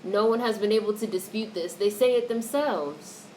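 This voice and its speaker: A woman's voice: mid-range voice, moderate pace, darkish tone. Blunt woman livestreamer, noisy audio. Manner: blunt, opinionated, swears freely. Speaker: livestream host reading and answering chat comments. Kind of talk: casual livestream commentary.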